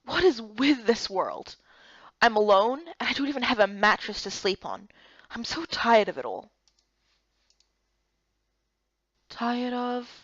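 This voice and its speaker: A woman's voice: a little high, lively intonation, normal speed, no echo, highs cut off. A woman playing a weary, frustrated young heroine in a fantasy game, plaintive and plain-spoken.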